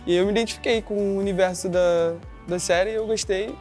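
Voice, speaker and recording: A man's voice: sits high, fairly even intonation, a little fast, over music. A man in his twenties from Brazil, a contestant explaining easily how he found a series. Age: 20s.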